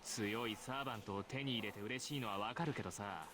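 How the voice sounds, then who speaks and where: An actor voicing a smug, condescending teenage schoolboy in a visual novel. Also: male voice, fairly low pitch, very fast, a bit bright, a little hiss or hum, natural voice. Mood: contemptuous. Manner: condescending, sneering. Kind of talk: Japanese visual novel voice acting.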